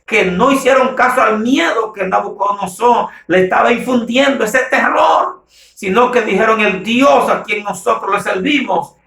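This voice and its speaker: High-voiced male preacher in an echoey room. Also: creaky, a bit bright. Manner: emphatic, exhortative, repeats phrases for weight. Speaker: pastor. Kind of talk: sermon, preaching to the faithful. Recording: roomy, echoing sound.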